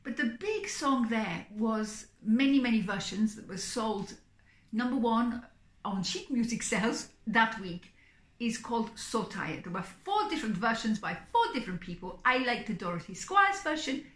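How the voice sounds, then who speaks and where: A woman's voice: a little high, lively intonation, normal speed. A middle-aged woman chatting expressively and theatrically to camera as a psychic entertainer hosting her own vlog. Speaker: singing psychic performer and host. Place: small indoor room, seated on a sofa. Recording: echoey room.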